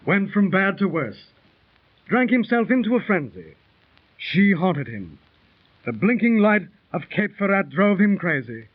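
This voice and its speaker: A man with a high, lively voice recounts a grim tale in measured, dramatic tones. His voice sounds thin and tinny, like an old radio transcription. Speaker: narrator within the drama. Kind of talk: 1930s radio drama acting.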